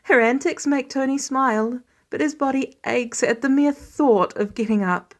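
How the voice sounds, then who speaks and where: A woman narrates fiction in a fairly high voice. She reads scripted prose as an amateur audiobook storyteller would, telling the tale in the third person. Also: normal speed. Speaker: fan fiction audiobook reader.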